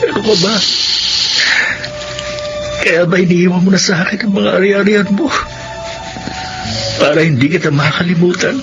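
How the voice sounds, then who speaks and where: A man's voice: high-pitched, normal speed, pauses often. An actor playing a caring, loyal male friend in a Filipino radio drama, coaxing and affectionate. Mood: moved, upset. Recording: slightly dull audio, music bed.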